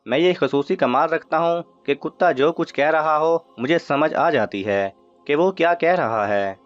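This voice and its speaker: Man reading an earnest, insistent thief, slightly high. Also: no echo, lightly acted. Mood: boastful. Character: earnest, insistent male thief who understands dogs. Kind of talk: children's story dialogue. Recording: muffled audio with music underneath.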